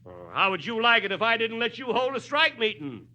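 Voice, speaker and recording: An actor playing a folksy, firm small-town mayor addressing a rally in a 1940s radio drama, plain-spoken and emphatic. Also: male voice, extremely high pitch, wildly swooping pitch, a little fast, bright, forceful tone, lo-fi sound, full character voice. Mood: firm, persuasive. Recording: old 1940s radio broadcast.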